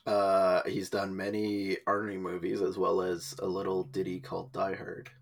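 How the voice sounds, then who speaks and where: A man's voice: deep voice, monotone, moderate pace, creaky. A man co-hosting a podcast, chatting casually about movies and directors. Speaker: podcast co-host.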